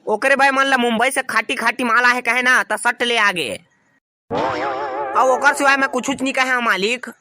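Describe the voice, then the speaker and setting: A woman's voice: fairly high pitch, a little slow, sharp tone, no room sound. A woman voicing a deferential village clerk in a cartoon, explaining things with a Chhattisgarhi accent. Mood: defensive. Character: servile village clerk who answers to a master. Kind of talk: cartoon dialogue.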